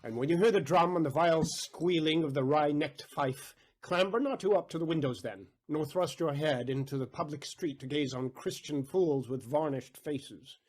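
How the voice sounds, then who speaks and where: A man's voice: on the high side, moderate pace, hardly any pauses, close to an everyday voice. A man playing a bitter, suspicious old miserly father, a moneylender, in a Shakespearean audio play. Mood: stern warning.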